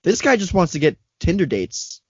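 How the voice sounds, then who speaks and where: A young man in casual podcast chat, joking and laughing through quick riffs. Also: high-pitched, sing-song, a little mellow, slightly rough, dry recording. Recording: dull lo-fi dry recording.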